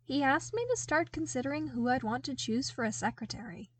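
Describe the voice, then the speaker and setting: An earnest young man, voiced by a woman in an audiobook, eager and a little sheepish. The voice is high and artless, chatting along in a dry, close recording. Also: brisk, no echo. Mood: insistent. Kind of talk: audiobook character dialogue.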